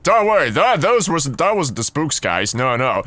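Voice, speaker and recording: A man commentating on a horror game as he plays, jokey and excitable, his voice husky and deeper than usual from being sick. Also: normal speed, no room sound. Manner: jokey, excitable, yelps and giggles. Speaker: gaming YouTuber.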